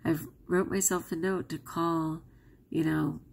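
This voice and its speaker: Woman vlogger, non-native English speaker, chatty and candid, gravelly fry in the voice. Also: low voice, little pitch movement, measured pace. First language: not English. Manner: candid and chatty, with frequent laughs. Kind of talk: casual vlog talk to camera.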